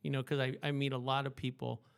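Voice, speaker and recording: Gravelly-voiced, encouraging male interviewer. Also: mid-range voice, flat delivery. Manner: chatty and encouraging, quick to praise. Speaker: interviewer, host. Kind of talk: casual conversational interview.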